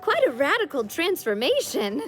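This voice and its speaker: A woman voicing a well-meaning, flustered young woman in a video game, choosing words tactfully and haltingly. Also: high-pitched, wildly swooping pitch, soft background music. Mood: admiring, flustered.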